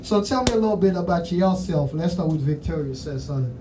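A man's voice: on the high side, expressive, fast talker. Man rambling Haitian-accented male interviewer. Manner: rambling, full of "you know what I'm saying". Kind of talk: casual interview chat. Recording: distant mic, faint background noise.

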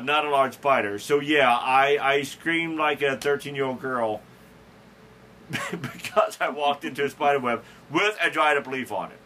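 A man's voice: on the high side, pushed and bright, slight background noise. A man hosting a podcast, telling a rambling, self-deprecating story in a casual chat, chuckling and restarting his sentences. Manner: frequent restarts and fillers. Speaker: podcast host.

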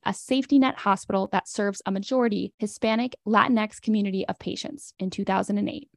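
Woman podcast host with slight vocal fry. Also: mid-range voice, dry recording, clean studio sound. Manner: reads introductions clearly, spelling out web addresses. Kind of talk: scripted podcast narration and interview.

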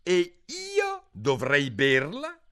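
A man voicing a frantic, indignant male pigeon guarding its eggs, shrieking and shouting in an audiobook. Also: high voice, big pitch swings, measured pace, pitched above the performer's own voice, heavily acted. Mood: scornful. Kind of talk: audiobook character voice.

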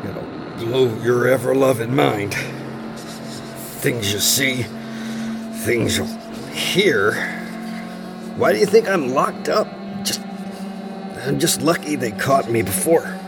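An actor playing a disturbed, paranoid locked-up man in a horror audio drama, halting and repeating himself as he broods. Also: medium pitch, expressive, gravelly, music in the background, rough recording. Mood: haunted, agitated.

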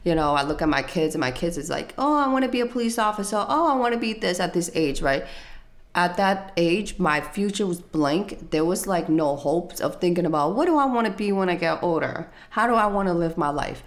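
A young adult woman telling her life story in an interview, soft-spoken and hesitant, with frequent fillers. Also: fairly low pitch, animated, fairly quick. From United States.

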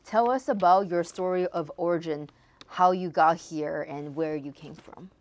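A woman's voice: fairly low pitch, moderate pace. A woman hosting an interview and asking questions in a casual, conversational way. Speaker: podcast host.

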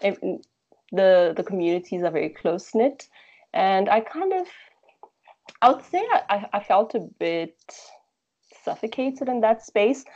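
A woman's voice: mid-range voice, expressive, measured pace, pauses often, a little mellow, muffled recording. A woman with a South African accent speaking reflectively in a conversational interview, choosing her words in a thoughtful, hesitant way. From Durban, South Africa. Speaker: interview guest.

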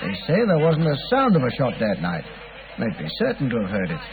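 A no-nonsense police inspector, bouncy pitch, sounds like an old radio with loud hiss. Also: male voice, wildly swooping pitch. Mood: matter-of-fact. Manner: brisk, matter-of-fact, a bit officious. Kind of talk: 1940s radio acting. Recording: tinny, hissy old radio broadcast.

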